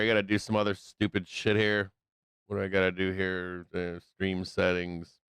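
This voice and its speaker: A hoarse middle-aged man with a Midwestern American accent hosting a live trading stream, rambling casually, swearing constantly and filling pauses with ums. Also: deep voice, a bit bright, no room sound. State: hoarse, losing his voice.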